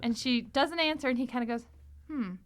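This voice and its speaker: A woman hosting a casual panel chat, an animated storyteller who acts out the lines she quotes. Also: high voice, fairly quick. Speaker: podcast host and discussion leader.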